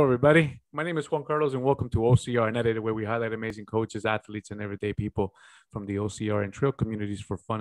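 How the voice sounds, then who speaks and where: A man's voice: lowish voice, dry recording. A man in his forties, raised in Ecuador, hosting a casual video-call interview and calling his guest "buddy". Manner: friendly, calls guest buddy. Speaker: podcast host. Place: small home office. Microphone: studio mic on video call.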